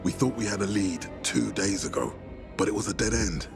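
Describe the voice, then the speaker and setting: An actor playing a persuasive, paternal and grandiose older military leader in a video game, speaking in measured, coaxing rhetoric. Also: male voice, lowish voice, gravelly, over music. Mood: earnest, coaxing. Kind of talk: cinematic game dialogue.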